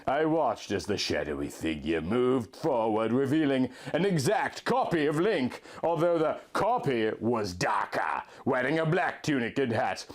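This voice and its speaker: A man's voice: medium pitch, very animated, measured pace, few pauses. A man giving a theatrical, melodramatic reading of a first-person fan fiction story. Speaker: story reader, narrator.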